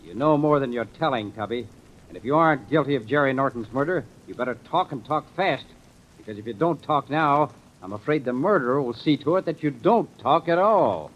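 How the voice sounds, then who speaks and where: A man's voice: medium pitch, expressive, fairly quick, a bit bright. An actor playing a confident, hard-boiled male investigator in 1940s radio mystery style, commanding and insistent with clipped delivery. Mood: pressing, threatening. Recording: noisy, muffled old radio recording.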